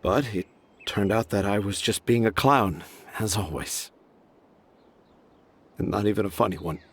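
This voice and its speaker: Remorseful middle-aged father, low and self-deprecating. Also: a little slow, breathy edge. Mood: regretful. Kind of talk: game voice acting.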